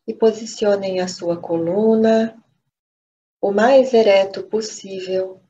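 A woman with a Brazilian accent leading a guided meditation, gently and unhurriedly, pausing between short phrases. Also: mid-range voice. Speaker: meditation teacher. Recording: dull lo-fi audio with steady noise.

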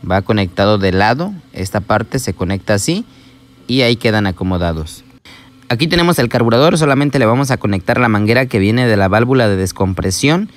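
A man's voice: lowish voice, lively intonation, brisk. A man talking viewers through a small-engine repair step by step, patient and explanatory, speaking to them directly as a voice-over.

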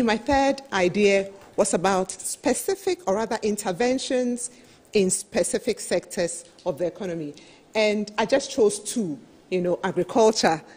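A middle-aged woman speaks at a business panel in a medium-pitched, slightly husky voice. She explains her points emphatically, peppering them with "you know". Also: darkish tone. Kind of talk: business presentation at a televised roundtable. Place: television studio, seated at a desk.

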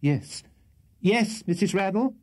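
Man playing a nervous young male host, raised lively voice, pleading, politely strained, storybook character. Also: high-pitched, takes it slowly, voice pushed up, heavily acted. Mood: dismayed. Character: anxious, miserable young male host cowed by his landlady.

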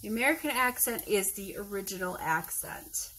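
A woman's voice: medium pitch, animated, normal speed, pushed and bright, a little hiss or hum, rough recording. A woman in her thirties with a Wisconsin accent, chatting casually to camera from her kitchen, rambling and groping for words as she goes. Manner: rambling, searching for words, self-correcting. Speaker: vlogger. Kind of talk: casual chat. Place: home kitchen, indoors. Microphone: phone camera across the table.

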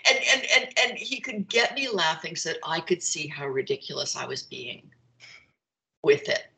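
A middle-aged woman with a slightly low voice, the kind of guest who shares frank stories on an online group call from her kitchen. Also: sing-song, moderate pace, gravelly, a bit bright, rough recording. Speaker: guest speaker. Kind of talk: candid conversational storytelling. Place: open-plan kitchen and living room at home. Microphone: video call, computer microphone.

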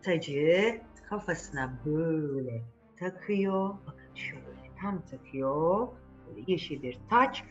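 A woman's voice: low voice, animated, slow pace. A woman telling a story to children in a conversational way, often putting questions to her listeners. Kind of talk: animated storytelling addressed to children. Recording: muffled audio with music behind.

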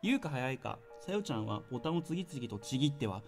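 Guy doing an uneasy teen boy narrating, measured and subdued, soft music behind. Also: mid-range voice, sing-song, voice pushed down. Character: uneasy teenage boy narrating his own story. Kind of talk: scripted first-person narration.

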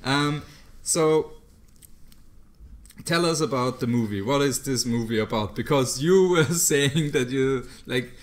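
A man's voice: mid-range voice, a little slow. A man hosting a podcast in English, talkative and joking, laughing often. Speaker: podcast host. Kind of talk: casual chat.

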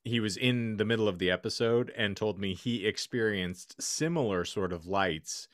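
Male podcast co-host, deep voice, close dry sound, halting mock-formal storytelling. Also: dry recording. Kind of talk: casual banter on a podcast. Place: indoor home room, remote video call.

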